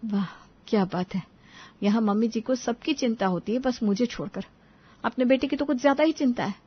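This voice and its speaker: Put-upon young daughter-in-law acted by a woman, resentful and aggrieved, high voice, family tale, dull recording. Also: a little fast, breathy edge. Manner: aggrieved, muttering. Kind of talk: Hindi family story dramatization.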